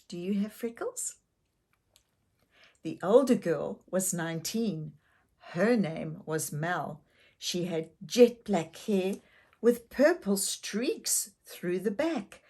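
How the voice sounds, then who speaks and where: An older woman narrating a children's story expressively, gently and deliberately, with questions to young listeners. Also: medium pitch. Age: older, grandmother.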